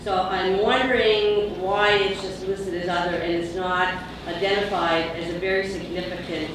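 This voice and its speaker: Loud Canadian woman questioning from the floor. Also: on the low side, fairly even intonation, normal speed, distant mic. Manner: loud, pointed and assertive. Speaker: audience member asking a question. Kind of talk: public question at a conference. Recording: echoey hall, from off-mic, noisy.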